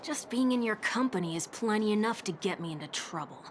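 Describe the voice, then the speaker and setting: An actress voicing a teasing, exasperated companion to a fugitive in a video game. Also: female voice, medium pitch, expressive, brisk, background noise, natural voice. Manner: teasing, sardonic. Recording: loud game music over the voice. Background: loud game music.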